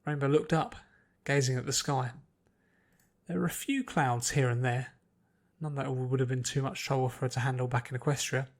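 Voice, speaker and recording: A man reading a story aloud as an expressive scripted narration. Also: medium pitch, vocal fry. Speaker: podfic narrator.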